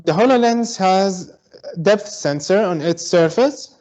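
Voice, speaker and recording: A man from Syria, a guest advocate for mixed reality, explaining step by step with many pauses and fillers over a video call. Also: high-pitched, expressive, darkish tone, lo-fi sound. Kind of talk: explanatory online talk. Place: home in Berlin.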